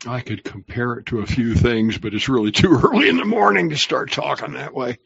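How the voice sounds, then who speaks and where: A man co-hosting a live online show in casual chat, wry and jokey, quick to laugh. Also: mid-range voice, creaky, a bit bright, husky, no echo, highs cut off. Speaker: co-host.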